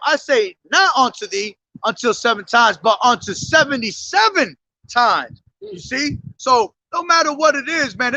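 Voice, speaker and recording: A man street preaching who reads scripture slowly and drives his points home, calling his listeners "man", his voice hoarse from days of yelling. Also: very high-pitched, poor audio. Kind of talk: street sermon with scripture reading. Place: outdoors on a street.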